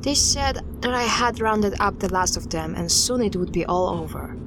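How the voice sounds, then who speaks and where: Woman playing a guarded lady investigator telling her case in an audio drama, careful and halting, noisy ambience behind. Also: medium pitch, lively intonation, creaky. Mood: uneasy. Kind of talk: audio drama dialogue, storytelling.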